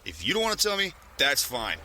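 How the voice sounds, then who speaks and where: A man's voice: mid-range voice, wildly swooping pitch, fairly quick, sharp tone, heavily acted. A man playing a sulky, protective courier in an audio drama, terse and dismissive. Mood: gruffly protective. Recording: clearly noisy background.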